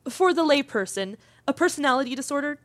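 A woman's voice: sits high, animated, fast, fairly bright tone, dry recording. A woman acting as an inquisitive, down-to-earth broadcast interviewer in a fiction podcast. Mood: curious, engaged. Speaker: talk show interviewer. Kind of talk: conversational scripted interview acting.

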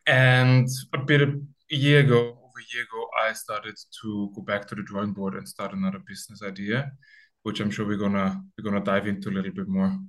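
A man's voice: lowish voice, flat delivery, fairly quick, fairly bright tone. A man from Austria, a founder as interview guest, explaining step by step over a remote call with many ums. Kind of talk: casual interview talk.

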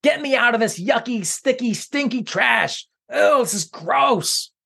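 A man voicing a messy, boastful villain who shouts with glee in a storybook tale. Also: very high voice, animated, a little slow, creaky, breathy edge, pitched above the performer's own voice, exaggerated voice. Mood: whiny. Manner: loud, boastful and gleeful. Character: messy, gleeful male trash villain.

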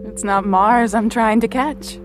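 Actress as playful wife, guitar music behind. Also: female voice, fairly high pitch, fairly even intonation, measured pace, natural voice. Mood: playful, tender. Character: playful, affectionate wife, keen amateur photographer. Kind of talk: intimate audio drama dialogue.

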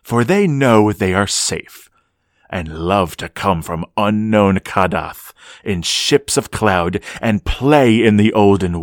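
An actor playing a grave storyteller, narrating a gothic horror radio drama with solemn, theatrical, measured phrasing. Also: male voice, low-pitched, no echo, clean studio sound. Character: grave storyteller of an ancient dread legend.

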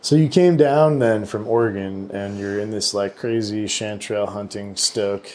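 A man's voice: on the low side, steady tone, normal speed, darkish tone. Slangy, rambling man in noisy casual chat. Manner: rambling, slangy, profane, laughs often. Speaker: interviewer, podcast host.

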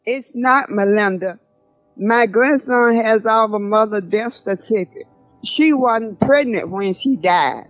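Older grandmother, played by a woman, reflective and grieving. Slow, high-pitched audio drama read, muffled sound. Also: no room sound, faint music behind. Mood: grave. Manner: slow, solemn, deliberate. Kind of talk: audio drama acting, diary poem recitation.

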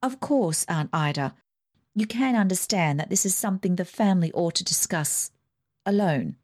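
A woman's voice: lowish voice, lively intonation, normal speed, darkish tone. A woman reading aloud, voicing a prim, earnest young woman about to marry, in a raised, scolding tone. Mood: polite.

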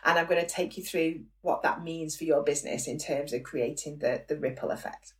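A woman with a croaky voice hosting her own business podcast, chatty and encouraging, often checking in with "okay?". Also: sits low, a little fast, far from the mic. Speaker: podcast host, business coach. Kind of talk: solo podcast monologue.

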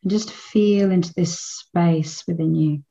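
Slow, mellow woman guiding meditation. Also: fairly low pitch, steady tone, creaky, muffled recording. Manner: soothing, unhurried, with long pauses. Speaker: meditation guide. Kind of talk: guided meditation.

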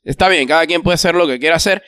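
A man's voice: fairly high pitch, racing, fairly bright tone, clear, resonant tone, no room sound, studio quality. A man speaking as a podcast guest in casual, colloquial Spanish chat, circling back over his points as he gives opinions.

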